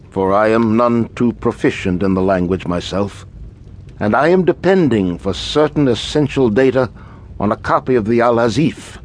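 A man's voice: lowish voice, natural voice. Man acting a formal, secretive occult scholar. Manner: formal, erudite, measured speech. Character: scholarly, secretive male occultist, nervous employer. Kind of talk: radio drama acting.

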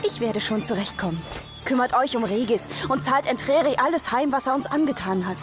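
A woman's voice: sits high, animated, fairly quick, music in the background. An actress playing a brave, determined young woman adventurer in a German fantasy audio drama, earnest and resolute.

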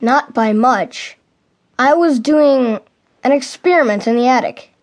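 An anxious young boy, voiced by a woman reading a children's novel aloud. He speaks hesitantly and wistfully. His voice sits a bit high. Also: unhurried. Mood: uneasy. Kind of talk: audiobook narration.

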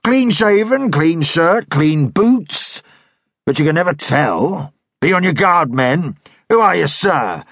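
A man voicing a tall, swaggering royalist cavalry officer who banters loudly and gives orders. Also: on the high side, sing-song, slow pace, husky, no echo, studio quality, pitched above the performer's own voice, exaggerated voice. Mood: boisterous. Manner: loud, bantering, commanding. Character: boisterous tall royalist dragoon leader, male, swaggering.

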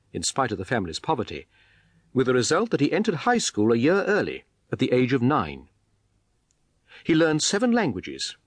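A man's voice: mid-range voice, husky, dry recording, clean studio sound. A man reading a scripted biographical documentary narration in a formal, articulate, explanatory manner.

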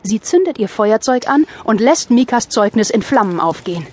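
A woman's voice: on the high side, animated, moderate pace, a little hiss or hum. A woman narrating an audio drama in a scripted storytelling style.

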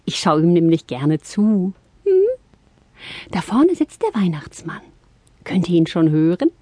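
A woman playing a small, eager, chirpy young Christmas fairy in a children's audio play, with a breathy, girlish voice. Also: fairly low pitch, big pitch swings, unhurried, dark tone, no room sound. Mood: curious, confiding.

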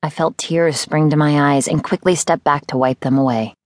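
Low-voiced woman narrating a novel, close and dry. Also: steady tone, moderate pace, dry recording. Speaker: audiobook narrator. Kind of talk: scripted first-person novel narration.

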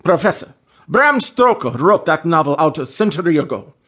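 A man's voice: on the high side, very animated, normal speed, a bit bright, husky, exaggerated voice. A man playing a weary, formal vampire who longs to be human, speaking precisely in a radio play. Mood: earnest, pleading. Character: weary, courtly male vampire longing to be human.

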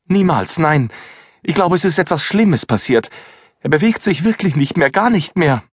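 A man voicing a frightened young female kitchen helper who stammers in excitement. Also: on the high side, moderate pace, slightly rough, no echo, clean studio sound, pitched above the performer's own voice. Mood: distraught.

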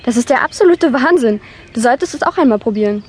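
A woman's voice: on the high side, expressive, quick pace, a little hiss or hum, lightly acted. A woman playing a curious, dreamy young girl in an audio drama, insistent and earnest. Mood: earnest, enthusiastic. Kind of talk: German audio drama acting.

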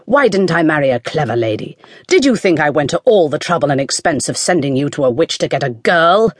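A storyteller voicing a bad-tempered, scornful sorcerer king who draws out his words slowly. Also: mid-range voice, lively intonation, fairly bright tone, slightly rough, no echo, studio quality.

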